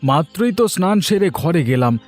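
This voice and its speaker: A man's voice: high voice, sing-song, fairly quick, soft background music. Boastful, peevish village grocer played by a man. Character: boastful, defensive older village grocer husband. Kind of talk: Bengali cartoon story dialogue.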